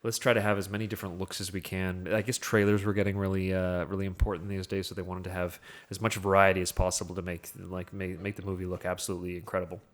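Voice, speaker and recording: Low-voiced man chatting about film. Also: little pitch movement, quick pace. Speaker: film podcast co-host. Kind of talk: casual film discussion with plot recap.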